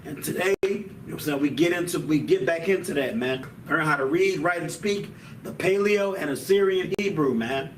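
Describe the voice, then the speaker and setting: A man's voice: a little high, moderate pace, echoey room, recorded from a distance, slight background noise, rough recording. A man teaching a Hebrew language class in a casual style, often tacking on "man" and "you know what I'm saying.".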